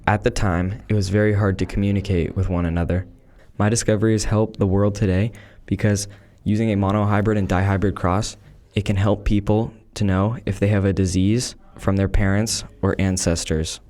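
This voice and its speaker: A man's voice: low-pitched, flat delivery, moderate pace, darkish tone, natural voice. A man playing a devout male scientist of the 1800s, reading a prepared script aloud in a school podcast role-play. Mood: earnest.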